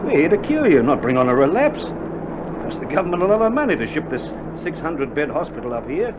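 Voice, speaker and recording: Dull old recording, wry, lively Australian army nurse. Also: male voice, fairly high pitch, a little fast. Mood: firm, chiding. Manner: laconic, joking, colloquial banter. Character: wry, reassuring army male nurse in a wartime field hospital. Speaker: actor in a radio war drama. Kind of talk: 1960s radio drama acting. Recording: old vinyl recording, dull band, faint noise.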